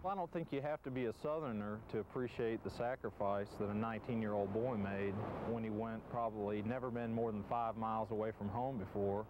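A man's voice: lowish voice, fairly even intonation, brisk, hardly any pauses, dull audio. A middle-aged man speaking informally in an on-camera interview outdoors as a preservation backer. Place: outdoors near road and woods. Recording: clear outdoor traffic noise.

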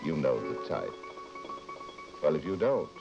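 A man's voice: very deep voice, big pitch swings, measured pace, creaky, music in the background, exaggerated voice. A man playing a sinister, knowing host who introduces an old radio horror show. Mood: ominous, knowing. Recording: muffled vintage broadcast recording.

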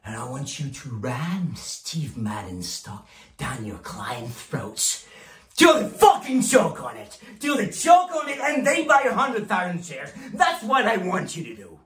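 A man playing a ruthless, bombastic stockbroker boss, delivering a profane motivational monologue in a theatrical way, swinging between hushed and forceful delivery. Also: mid-range voice, very animated, slow, exaggerated voice. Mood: intense, controlled, then ferocious, rallying. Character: ruthless, bombastic male stockbroker boss rallying his sales team. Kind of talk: theatrical film monologue performance. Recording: roomy, echoing room sound.

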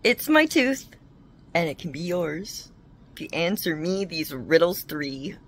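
A woman putting on a lowered, teasing voice as a mischievous riddle-keeper. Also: lively intonation, unhurried, slight background noise.

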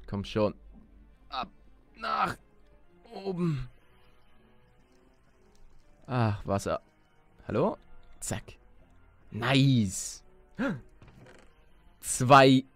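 A man's voice: mid-range voice, no echo. A man hosting a Let's Play, thinking aloud casually in slang peppered with English gaming words. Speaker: gaming video host.

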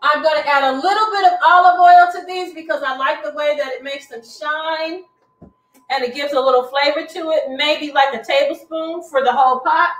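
A woman's voice: sits high, normal speed, fairly bright tone. A middle-aged woman hosting a live cooking demonstration from her home kitchen, chatty and instructive as she cooks. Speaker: cooking show host. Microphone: far camera across the kitchen. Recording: roomy kitchen from far off.

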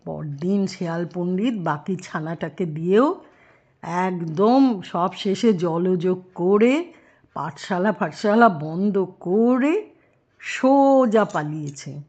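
Older female children's storyteller, grandmotherly, very unhurried, medium pitch, slightly dull recording. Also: expressive, a little mellow. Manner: grandmotherly, explains words, questions listeners.

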